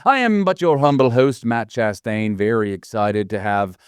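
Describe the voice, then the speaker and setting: A man's voice: lowish voice, moderate pace, clear, resonant tone, no echo, clean studio sound. A man hosting a podcast, friendly and self-deprecating, joking as he introduces his guest. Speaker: podcast host. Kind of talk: casual interview talk.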